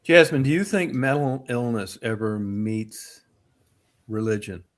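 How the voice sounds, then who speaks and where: Host, mid pitch, calm, steadying, asking questions. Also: unhurried. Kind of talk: casual chat.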